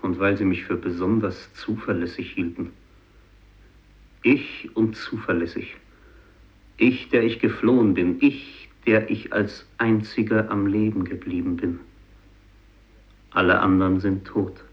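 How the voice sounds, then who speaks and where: Casting: doomed fisherman, sole survivor, low and very slow, solemn monologue, dull vintage radio sound. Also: fairly even intonation. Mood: bitter, haunted. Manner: solemn, deliberate, halting delivery with heavy pauses. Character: doomed, guilt-ridden Japanese fisherman, sole survivor of his village. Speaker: narrator and main character. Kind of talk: 1950s German radio drama monologue.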